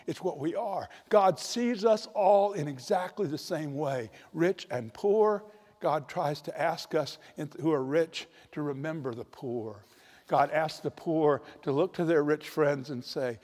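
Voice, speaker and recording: An older man preaching a sermon with a storytelling manner, slowing down to stress key points, recorded in a large church on a handheld mic. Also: on the high side, darkish tone. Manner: storytelling, varied emphasis, slows for key points. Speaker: priest. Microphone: handheld microphone. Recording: long church reverb.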